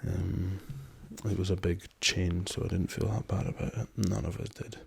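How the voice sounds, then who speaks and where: Soft-spoken hoarse man, low and gravelly. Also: steady tone. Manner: soft-spoken, slow and hesitant with many ums, drifting into whispers. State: hoarse from a lingering cough. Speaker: ASMR channel host. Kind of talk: soft-spoken ASMR travel chat.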